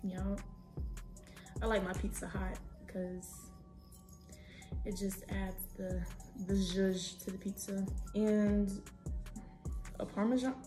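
A young woman in her twenties chatting casually to camera in a home kitchen, slangy and animated as she cooks. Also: mid-range voice, slow pace, long pauses, reverberant, music in the background. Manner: chatty, slangy, animated, talks while cooking. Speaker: vlogger. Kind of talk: casual vlog chat to camera. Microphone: camera on a tripod at a distance.

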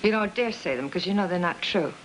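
A woman acting as a bitter, accusing wife in a fifties melodrama. Her pitch swings widely as she bites out insistent, emphatic words over a noisy old film soundtrack. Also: animated, fairly quick, lightly acted. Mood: bitter accusation.

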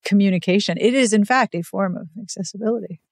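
A woman's voice: mid-range voice, fast talker, a little mellow, dry recording. Enthusiastic woman hosting a podcast, dry sound. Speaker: podcast host. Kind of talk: casual interview chat.

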